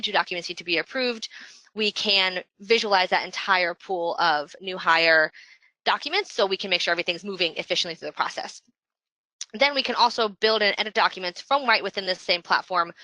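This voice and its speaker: A woman presenting a software product webinar in an explanatory, businesslike way. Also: on the low side, dry recording, rough recording.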